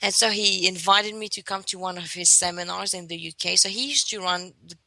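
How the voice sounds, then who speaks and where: A woman's voice: on the low side, brisk, gravelly, sharp tone, dry recording. A young woman from Greece telling her career story as a podcast guest, hesitant with fillers and restarts. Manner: hesitant, many fillers and restarts, self-deprecating. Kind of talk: casual interview storytelling. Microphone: conference call line.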